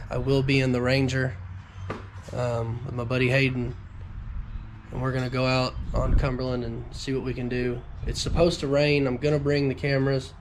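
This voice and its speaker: A man's voice: medium pitch, flat delivery, normal speed, background noise. Nasal man getting over a cold. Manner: rambling, frequent ums and restarts. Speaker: fishing vlogger. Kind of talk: casual talk to camera.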